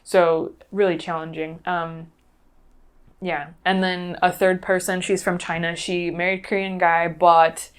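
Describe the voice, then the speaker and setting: Thoughtful woman with a lowish voice. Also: level tone, unhurried, clean tone. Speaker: interview guest. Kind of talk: conversational interview, recounting cases.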